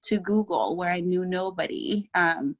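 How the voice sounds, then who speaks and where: A woman's voice: on the low side, a little slow. A woman from Ghana sharing career advice on a panel in a direct way. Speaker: panelist, operations and strategy leader.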